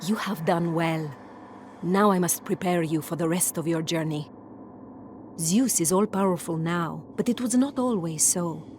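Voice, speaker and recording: An actress playing a calm, commanding goddess who guides a hero, speaking in a solemn, measured storytelling tone. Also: female voice, lowish voice, a little hiss or hum, natural voice. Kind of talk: game cutscene narration.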